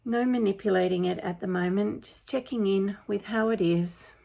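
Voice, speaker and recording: A woman leading a guided meditation in a gentle, unhurried, informal and soothing way. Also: medium pitch, creaky. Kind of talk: guided meditation, spoken softly to listeners.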